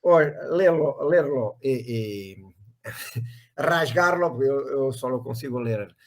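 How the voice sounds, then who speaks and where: A Portuguese man with a Portuguese accent, a guest professor in an academic interview, speaking halting Spanish with many stammers and self-corrections. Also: mid-range voice.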